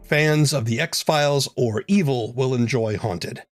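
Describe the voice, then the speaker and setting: A man hosting a podcast, reading scripted announcements in a clear presenting manner. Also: medium pitch, a little slow, no echo, clean studio sound, faint music behind. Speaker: podcast host.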